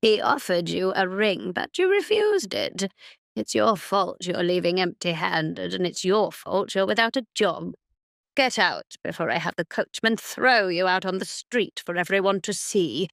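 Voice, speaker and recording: A woman's voice: lowish voice, animated, a little slow, gravelly, fairly bright tone, no room sound, clean studio sound, exaggerated voice. A woman voicing a cold, cruel, haughty noblewoman in an audiobook drama, sneering and dismissive. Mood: sneering, angry.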